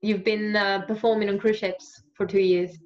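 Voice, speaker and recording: A woman's voice: mid-range voice, little pitch movement, moderate pace. Young female host, friendly questions with frequent laughs, dull video call sound from a room by a window. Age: young adult. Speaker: interviewer. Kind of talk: casual video call interview.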